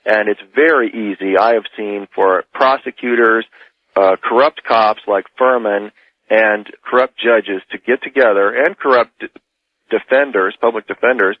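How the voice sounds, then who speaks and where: A man's voice: lowish voice, normal speed, dry recording. A man arguing politics insistently as a caller on a radio show, in a heated debate style. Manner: insistent, argumentative. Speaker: radio call-in guest. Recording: dull lo-fi radio call.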